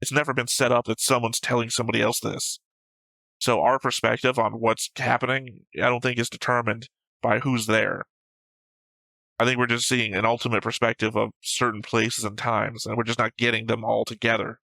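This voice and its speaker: Bright-voiced man debating, very crisp and close. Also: medium pitch, flat delivery, normal speed, no room sound, low-quality recording. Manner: argumentative, matter-of-fact, picking apart points. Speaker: co-host of an anime reaction show. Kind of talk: casual analytical debate, discussion.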